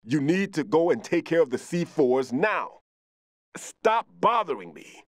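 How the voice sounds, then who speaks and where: An actor playing a firm special-operations soldier giving mission instructions in a video game. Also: male voice, on the high side, big pitch swings, unhurried, no room sound, studio quality. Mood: annoyed.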